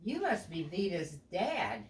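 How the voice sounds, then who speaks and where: A woman's voice: fairly low pitch, sing-song, unhurried, recorded from a distance. A woman reading the part of an earnest, determined young male tooth fairy from a storybook, politely insistent. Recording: echoey room with background noise.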